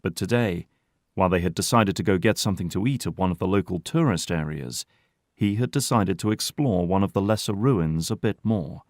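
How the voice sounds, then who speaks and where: A man's voice: low-pitched, brisk, darkish tone, no echo, clean studio sound. A man narrating an audio drama in a scripted, dramatic storytelling style.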